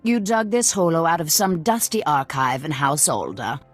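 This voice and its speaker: A proud, world-weary assassin lady of middle age from a space fantasy game. Her voice is low and raspy with a sardonic edge, over soft music. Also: expressive, moderate pace. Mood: dismissive. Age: middle-aged. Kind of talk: video game voice acting.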